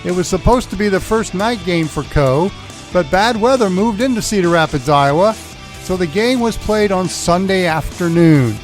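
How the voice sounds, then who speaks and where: A man's voice: high-pitched, animated, normal speed, soft background music. An American man hosting a sports show, running through college football news and previews. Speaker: sports radio show host. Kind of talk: sports round-up, partly scripted narration.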